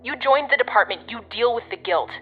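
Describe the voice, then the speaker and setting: A woman's voice: on the high side, lively intonation, fairly quick, slightly rough, soft background music. Pragmatic woman invigilator, audio drama. Curt, impatient, no-nonsense, slightly lo-fi sound. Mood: stern, urgent.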